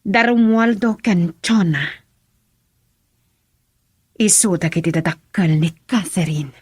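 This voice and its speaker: Husky, low-voiced worried mother. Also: slow pace, darkish tone, no room sound, exaggerated voice. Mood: uneasy, pensive. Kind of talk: Ilocano radio drama acting.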